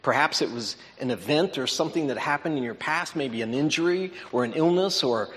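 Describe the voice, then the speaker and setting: Male preacher, bit high-pitched, talky teaching style, big hall echo. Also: lively intonation, a little fast, fairly bright tone. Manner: conversational, explanatory, checks in with listeners. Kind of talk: sermon lesson. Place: large auditorium. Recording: long reverb in an auditorium.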